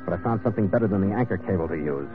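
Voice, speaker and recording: An actor playing a tough, wry sea captain who also narrates a vintage radio adventure in a clipped way. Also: male voice, deep voice, animated, racing, music in the background. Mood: wry narrating. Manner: clipped, wry, hard-boiled. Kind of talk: old-time radio adventure acting and narration. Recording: tinny vintage radio recording.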